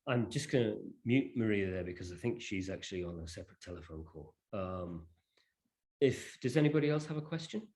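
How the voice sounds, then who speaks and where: Low-pitched man hosting an online session. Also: expressive, fairly quick, a little mellow. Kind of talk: informal presentation of a digital library. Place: online video call.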